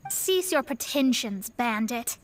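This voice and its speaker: A woman's voice: on the high side, lively intonation, slow pace, a little hiss or hum. An actress playing a proud young female astrologer in a video game, boastful and pompous, with formal, theatrical diction. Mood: cold, accusing.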